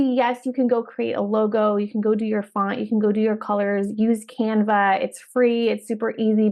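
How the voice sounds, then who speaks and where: Woman podcast host, 30s, a little hoarse with a cold, gravelly edge, upbeat and direct. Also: medium pitch. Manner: upbeat, direct coaching talk with restarts. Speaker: podcast host and career coach trainer. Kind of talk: solo podcast talk.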